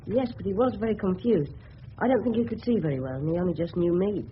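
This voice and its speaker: An actor playing a polite, level-headed English schoolboy in a radio play, earnest and well-spoken. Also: male voice, sits high, quick pace, lightly acted. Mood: respectful, earnest. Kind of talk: 1970s radio play acting. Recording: muffled, hissy old radio broadcast.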